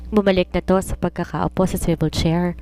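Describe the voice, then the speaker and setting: A woman narrates a romance novel in a lower-pitched voice. It is scripted storytelling, read aloud as a narrator would. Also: fairly even intonation, moderate pace, darkish tone.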